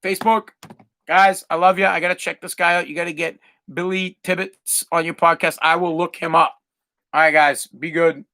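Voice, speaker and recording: A young man with a Boston accent hosting his own podcast, ranting loudly and profanely as he talks straight to his listeners. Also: a little high, level tone, moderate pace. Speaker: podcast host. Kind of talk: solo podcast rant.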